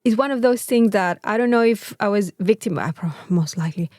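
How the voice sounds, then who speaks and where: A Mexican woman, a native Spanish speaker, co-hosts in a casual, chatty way. Her voice sits at a middle pitch. She tells her stories with short repeated interjections, recorded dry and close. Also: fairly quick, darkish tone, dry recording. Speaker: podcast co-host. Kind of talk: casual chat.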